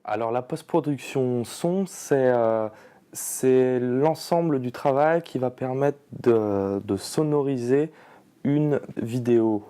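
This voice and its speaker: A man working in sound post-production, explaining his job in a measured, technical way. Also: medium pitch, mellow, clear, resonant tone. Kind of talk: explanatory interview.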